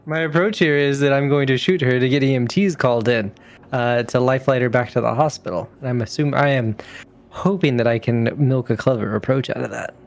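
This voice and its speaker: A male player talks through his moves in a tabletop game. His voice sits at an average pitch with a noticeable creak, and his delivery is informal and slangy. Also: fairly quick. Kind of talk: casual chat during a tabletop game.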